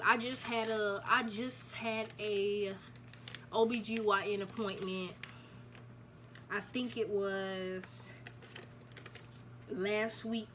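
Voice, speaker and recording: A woman's voice: medium pitch, bright, forceful tone. Young woman, nineteen, chatty storytime vlog, faint hiss behind. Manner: chatty, repeats herself, addresses viewers as y'all. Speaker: vlogger. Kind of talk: casual storytime chat to camera.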